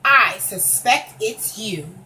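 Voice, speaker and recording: A woman voicing a stern, suspicious male elephant in a children's picture book, speaking with deliberate authority. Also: medium pitch, lively intonation, pushed and bright, exaggerated voice. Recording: distant microphone, faint background noise.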